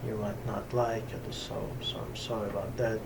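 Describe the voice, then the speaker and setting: A middle-aged man, a yoga teacher talking unscripted to camera in a small home ashram. He speaks haltingly, pausing often to find words, with many fillers. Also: lowish voice, gravelly. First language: Russian. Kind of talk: slow unscripted talk to camera. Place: small hot room in a Brooklyn home ashram. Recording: camera from a distance with steady background noise.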